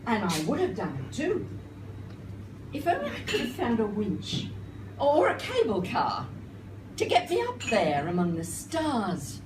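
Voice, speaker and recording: An older woman performs a spoken-word poem at an unhurried pace, weighing her phrases with a wry, theatrical touch. She is picked up from a distance in a reverberant room, with clear noise on the recording. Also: fairly high pitch, wildly swooping pitch. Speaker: performance poet and singer. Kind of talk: live spoken-word poetry recital. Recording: roomy, noisy live recording, distant mic.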